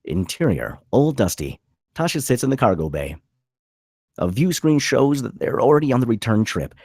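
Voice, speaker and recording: A man's voice: mid-range voice, very animated, normal speed, a little mellow, breathy edge, no echo, clean studio sound. A man reading scripted stage directions as the narrator of a screenplay.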